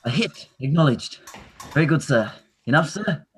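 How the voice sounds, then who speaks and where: A man's voice: on the high side, animated, moderate pace, slight background noise, close to an everyday voice. An actor playing a deferential male fencing instructor in a radio play reading. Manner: polite, servile.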